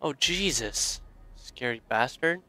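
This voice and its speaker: A young man giving casual, excitable commentary while playing a video game, joking and swearing freely. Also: mid-range voice, measured pace. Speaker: let's play gamer.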